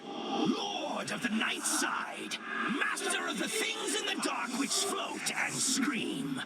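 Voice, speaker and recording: A man voicing a menacing undead ghost king in a video game, with a hissing, whispery delivery that swells into shouts. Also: extremely high pitch, sing-song, takes it slowly, vocal fry, full character voice. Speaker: game villain. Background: loud game music.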